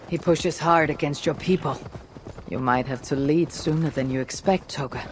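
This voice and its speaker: An actress playing a resolute, seasoned Viking raider in a video game, with a low, husky voice and a measured, commanding manner. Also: female voice, background noise, close to an everyday voice. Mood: grave. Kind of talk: video game cutscene acting. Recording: loud cinematic score behind.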